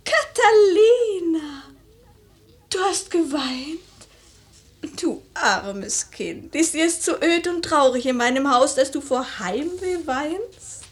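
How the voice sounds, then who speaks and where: A melancholy noble lady in a classic radio play. Her voice sits high and she draws out her lines slowly. The delivery is theatrical and lyrical, pensive yet impulsive. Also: sing-song, full character voice. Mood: tender, wistful. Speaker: radio drama actress in a leading part. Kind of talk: mid-century German verse radio play acting.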